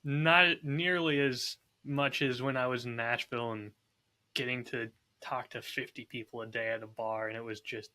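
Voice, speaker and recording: A man's voice: medium pitch, fairly even intonation, normal speed, sharp tone. A man chatting casually and informally as a podcast co-host. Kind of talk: casual chat.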